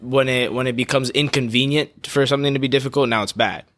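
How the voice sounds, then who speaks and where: A man co-hosting a casual podcast, reflective and a little hesitant as he thinks aloud. His tone is bright and crisp, recorded dry and close. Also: mid-range voice, fairly even intonation, fast talker, no echo. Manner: reflective, hesitant with fillers and restarts. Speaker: podcast co-host.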